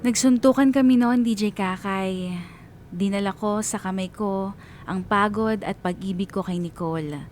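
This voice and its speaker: A woman's voice: mid-range voice, normal speed, a little mellow. A woman with a Filipino accent giving a scripted, dramatic first-person story narration for radio. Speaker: radio DJ reading a listener's story.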